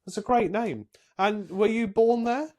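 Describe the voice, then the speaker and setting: A man's voice: high-pitched, a little slow, a little mellow. A man co-hosting a podcast, asking the guest questions in a curious, chatty way. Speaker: podcast co-host. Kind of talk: casual interview questions.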